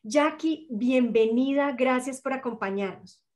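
A woman's voice: sits high, unhurried, a little mellow. A woman hosting a podcast, greeting listeners and introducing her guest in an upbeat way. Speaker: podcast host.

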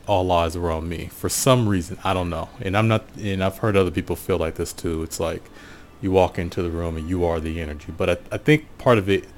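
An American man giving a casual, reflective solo monologue in an unhurried, musing way, often pausing mid-sentence. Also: sits low, slight background noise. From Portland, USA. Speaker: podcast host.

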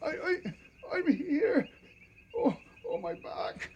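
An actor playing an anxious, fretful doctor on a lion hunt in a radio drama, stammering when afraid. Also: male voice, very high voice, very animated, takes it slowly, a little mellow, lo-fi sound, a little hiss or hum, exaggerated voice. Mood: pained, frightened.

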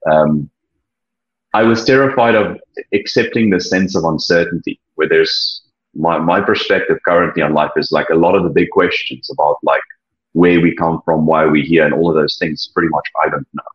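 A young South African man whose first language is Afrikaans, sharing his story earnestly, with frequent halting restarts. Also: a bass voice, husky. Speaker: interview guest. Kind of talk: personal testimony, reflective. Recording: dull lo-fi sound.

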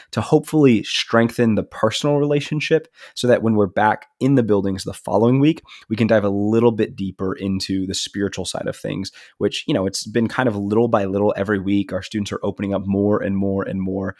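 A man's voice: on the low side, steady tone, fairly quick, few pauses, darkish tone, no room sound, clean studio sound. A man co-hosting a casual podcast, sounding nasal from a stuffy nose, upbeat and easygoing. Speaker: podcast co-host, student ministry leader.

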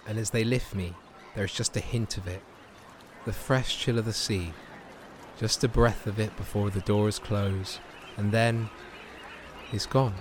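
An actor playing a heartbroken, resigned young homeless drug user in an audio drama, speaking in weary, understated broken phrases. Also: male voice, on the low side, fairly even intonation, a little slow, slight background noise, lightly acted. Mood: fading, resigned. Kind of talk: intimate audio-drama monologue. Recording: music behind the voice.

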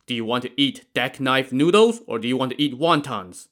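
A man's voice: mid-range voice, expressive, normal speed, a bit bright, lightly acted. A man doing a cocky, threatening river boatman. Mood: menacing. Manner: mocking, threatening. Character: gruff scheming male river boatman, cocky.

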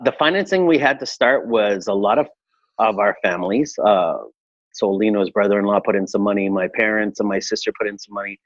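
A man in his forties from Chile, a restaurateur answering interview questions in a relaxed, reflective way with frequent fillers. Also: fairly low pitch, fairly quick, dry recording, highs cut off. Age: 40s. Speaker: restaurateur guest.

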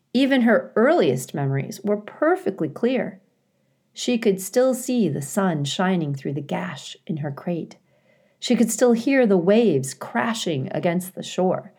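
A woman reading aloud as a storyteller, in an expressive style suited to a children's audiobook. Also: fairly low pitch. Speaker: story reader, school staff.

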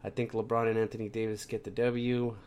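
A man's voice: lowish voice, little pitch movement, a little fast, darkish tone, a little hiss or hum. A young man hosting a casual sports podcast, sounding plugged up from a cold and rambling with lots of fillers and restarts. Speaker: podcast host.

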